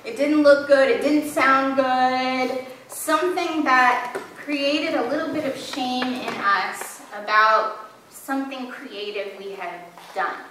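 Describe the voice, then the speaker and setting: A woman's voice: sits high, pushed and bright, slight background noise. A woman pastor giving a sermon in a church, talking in a candid, self-deprecating storytelling way. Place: church sanctuary. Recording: very echoey church from a distance.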